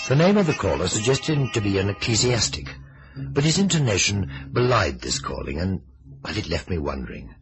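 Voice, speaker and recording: An actor playing a thoughtful, measured detective agency boss with a husky voice in an old-time radio mystery. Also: male voice, on the low side, very animated, music in the background. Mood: reflective. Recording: vintage radio recording.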